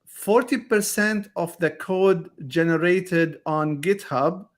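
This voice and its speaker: A male podcast host with a slightly high voice asks his questions earnestly. He often restarts his sentences partway through and then presses on with his point. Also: slow. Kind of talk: interview questions and commentary.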